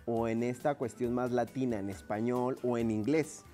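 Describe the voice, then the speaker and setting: Brief: low-voiced woman co-host, teasing banter. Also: steady tone, moderate pace, mellow, faint music behind. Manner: playful, teasing. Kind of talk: joking banter.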